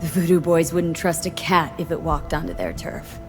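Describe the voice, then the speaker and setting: An actress voices a streetwise young woman mercenary for a video game. Her voice sits on the low side, her delivery is clipped, guarded and dryly wry. Music plays quietly behind her. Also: normal speed, lightly acted. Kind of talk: naturalistic video game acting.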